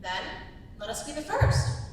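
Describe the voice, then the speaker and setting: A woman's voice: very animated, measured pace. A young woman playing a gracious, assured princess with commanding authority in a live dramatic reading. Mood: assured, kind. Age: young adult. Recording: echoey hall from far off, rumble.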